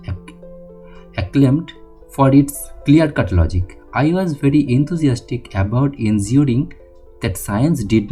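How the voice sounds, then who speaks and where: A man reading an autobiography aloud, halting, with frequent slips and restarts. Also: medium pitch, warm tone. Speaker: audiobook reader.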